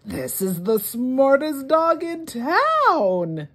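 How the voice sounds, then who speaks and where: A woman voicing excitable, admiring townsfolk in a children's picture book, exclaiming with emphasis. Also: sits high, very slow, pitched above the performer's own voice, heavily acted. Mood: impressed. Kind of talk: storybook character voices.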